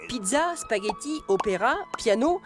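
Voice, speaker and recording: A woman's voice: a little high, lively intonation, a little slow. Woman doing a pre-recorded radio word segment, playful and teacher-like, voice bouncing all over, music bed under it. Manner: playful, explaining, quiz-like. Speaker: presenter of a language segment. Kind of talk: scripted educational radio segment.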